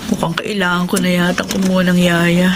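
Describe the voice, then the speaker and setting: A woman's voice: fairly low pitch, level tone, a little slow, noisy background, natural voice. An actress playing an anxious, dutiful young wife and mother in a Filipino radio drama. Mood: concerned.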